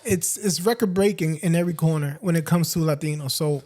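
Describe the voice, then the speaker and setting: High-voiced man chatting casually. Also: fairly quick, a little mellow. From United States. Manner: searches for words, restarts, mixes in Spanish. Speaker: podcast co-host. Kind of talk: casual chat.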